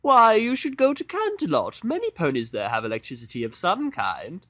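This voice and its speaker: A man voicing a prim, fussy, theatrical female pony fashionista in a fan fiction audio drama, with a haughty, drawn-out, dramatic delivery. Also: high voice, huge pitch swings, bright, forceful tone, dry recording, pitched above the performer's own voice, heavily acted. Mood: insistent.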